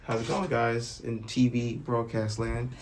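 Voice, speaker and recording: A man's voice: mid-range voice, flat delivery, a little slow, vocal fry. A man working as a sound engineer, chatting informally and full of praise, with background noise. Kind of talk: casual behind-the-scenes chat.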